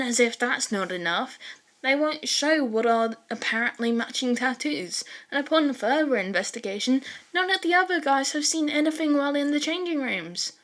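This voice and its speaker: A woman narrating fan fiction as an expressive storytelling reader. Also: high-pitched.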